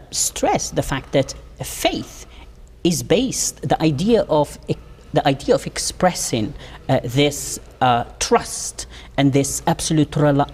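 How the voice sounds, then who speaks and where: A middle-aged man from Morocco, a professor guest, answering interview questions in a measured way with many pauses. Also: a little high, darkish tone, husky, in a large hall.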